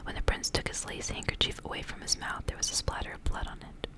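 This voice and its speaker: Whispering woman reading ASMR stories. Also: fast. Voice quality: breathy unvoiced whisper. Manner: soft, hushed, intimate delivery. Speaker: ASMR reader and channel host. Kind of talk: whispered ASMR storybook reading with casual asides.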